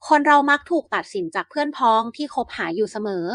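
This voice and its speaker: A woman narrating Aesop-style fables for bedtime in an even, measured reading. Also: on the high side, dry recording, studio quality. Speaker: storyteller.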